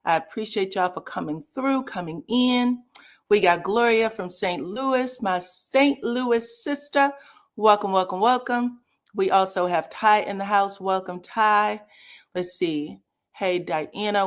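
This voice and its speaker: A woman, chatting casually as she hosts a livestream recap and greets viewers by name. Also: medium pitch. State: slightly scratchy voice. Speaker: reality TV recap livestream host.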